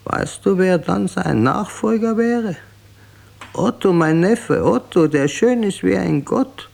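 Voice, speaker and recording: An actor playing a bitter, weary old Austrian emperor in a radio drama, grumbling and brooding with an Austrian accent and a creaky, gravelly voice. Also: male voice, high voice, expressive, slow, darkish tone, full character voice. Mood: gloomy, resigned.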